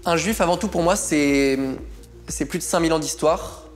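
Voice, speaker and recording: A man's voice: a little high, normal speed, soft background music. A man in his twenties speaking emphatically in an interview. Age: 20s.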